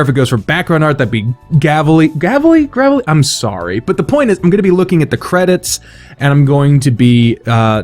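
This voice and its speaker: A man's voice: mid-range voice, a little mellow. Sweary, self-deprecating man over background music. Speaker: video host announcing fan awards. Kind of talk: casual unscripted monologue to viewers.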